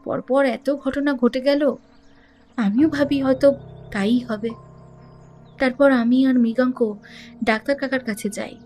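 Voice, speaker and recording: A woman playing an educated young heiress in an audio drama, recounting her troubles in a measured, confiding way. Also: high-pitched, steady tone, a little mellow, soft background music. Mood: troubled. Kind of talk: Bengali audio drama acting.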